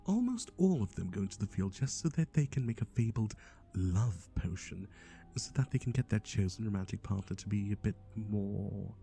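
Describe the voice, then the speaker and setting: A man playing a playful matchmaker, mellow voice, swooping intonation, theatrical, teasing, chatty, ASMR roleplay. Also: fairly low pitch. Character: chatty, playful male matchmaker for obsessive yandere boyfriends.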